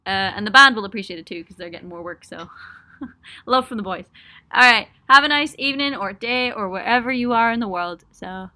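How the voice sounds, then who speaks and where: A woman's voice: medium pitch, brisk, bright, forceful tone. A young woman chatting casually on a webcam livestream from a small home studio, answering viewer questions with lots of ums, laughs and self-mocking jokes. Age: young adult. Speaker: musician hosting a livestream for supporters.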